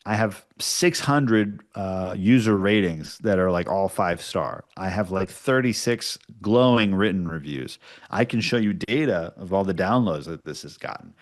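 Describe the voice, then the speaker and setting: A man's voice: low-pitched, lively intonation, a little slow. A man giving casual, explanatory interview answers, often checking in with short tags like "right?". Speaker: podcast producer, interview guest.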